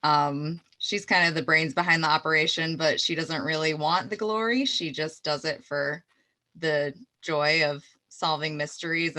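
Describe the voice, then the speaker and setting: A woman's voice: sits low, bright, forceful tone. A woman talking casually as an interview guest, an actress describing her work.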